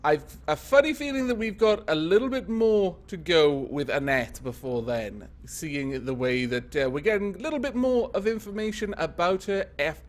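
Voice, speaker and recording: A man casually commentating on a strategy game he is playing, thinking aloud with many fillers, a little congested from a cold. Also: fairly high pitch. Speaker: gaming video host.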